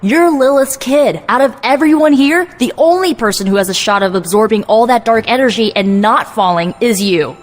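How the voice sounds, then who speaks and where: A woman's voice: on the high side, lively intonation, moderate pace, hardly any pauses. A woman voicing a brash, sly young sorceress in a video game, casual and persuasive, with sardonic delivery. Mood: urgent, pushy. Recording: music bed behind the voice.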